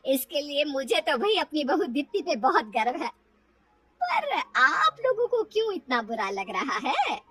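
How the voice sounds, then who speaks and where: A woman's voice: high voice, sing-song, a little slow, a bit bright, recorded from a distance, heavily acted. A woman voicing a proud, protective mother-in-law in a moral-story cartoon, earnest as she defends her family. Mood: proud, defending.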